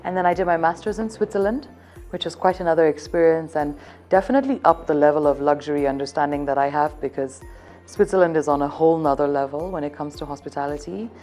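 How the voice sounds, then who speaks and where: Brief: thirties woman, hotel events rep, mellow low voice, upbeat sales pitch, faint music behind. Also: quick pace. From Zambia. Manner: upbeat, selling, keeps circling back to one theme. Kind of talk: promotional interview answers.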